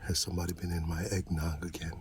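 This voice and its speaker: A man doing a casual ASMR roleplay as an easygoing, supportive friend, talking softly in a laid-back buddy way. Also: low-pitched, little pitch movement, quick pace, a little hiss or hum. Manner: soft-spoken, half-whispered, laid-back buddy talk.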